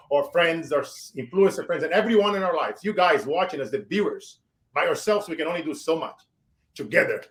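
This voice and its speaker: A man in his forties with a Brazilian accent, hosting a show and giving emphatic motivational shout-outs. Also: a little high. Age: 40s. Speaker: show host. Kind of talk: motivational interview wrap-up.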